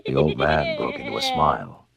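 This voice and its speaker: A man narrating a scripted storybook as a dramatic, measured storyteller. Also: extremely low pitch, vocal fry, a crowd in the background. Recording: music under the narration. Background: music.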